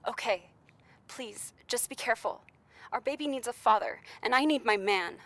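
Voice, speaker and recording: A woman's voice: a little high, moderate pace, fairly bright tone, natural voice. An actress playing a worried, loving young girlfriend in a 1930s radio drama, pleading and tender. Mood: resigned, tender.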